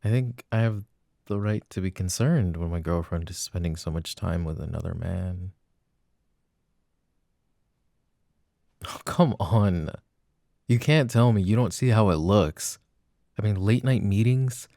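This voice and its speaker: Boyfriend role for a male actor: very low, gravelly, slow and halting, loving yet jealous, intimate ASMR roleplay. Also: warm tone, no room sound, studio quality. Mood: defensive, frustrated. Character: loving but jealous, uneasy young boyfriend.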